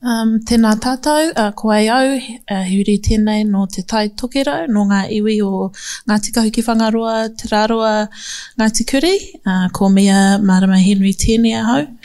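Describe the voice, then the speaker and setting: A woman's voice: mid-range voice, normal speed, a little mellow. A woman with a New Zealand accent introducing herself live as a film producer.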